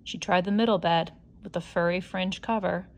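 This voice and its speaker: A woman's voice: lowish voice, fairly even intonation, normal speed. A woman reading a children's picture book aloud as a scripted narration. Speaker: storybook reader.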